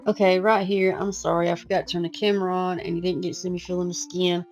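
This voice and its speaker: A woman who is losing her voice, hoarse and chatty, talking through a casual painting lesson over soft guitar music. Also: lowish voice, flat delivery, fast talker, dull audio. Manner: casual, chatty, self-deprecating. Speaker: art teacher. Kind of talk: relaxed painting tutorial voice-over.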